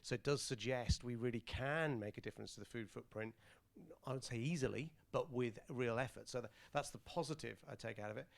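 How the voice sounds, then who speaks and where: Loud, rambling man asking a question. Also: medium pitch, brisk, creaky, no room sound. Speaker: audience member asking a question. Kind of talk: question from the floor at a panel.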